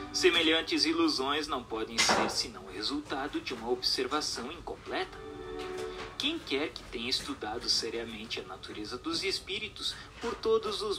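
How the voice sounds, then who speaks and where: A man reading a scripted text aloud as a narrator, in a formal, declamatory way. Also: fairly high pitch.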